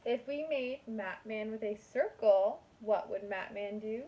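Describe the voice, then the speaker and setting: A woman's voice: medium pitch, measured pace, dull audio. A woman kindergarten teacher reading a picture book aloud to young children in a sing-song manner.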